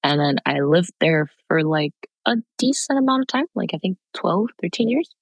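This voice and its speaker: A woman's voice: on the low side, big pitch swings, moderate pace, no echo. A young woman from Haiti and Canada, a podcast guest, talking about her background in a casual, rambling way. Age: young adult. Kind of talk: casual interview talk.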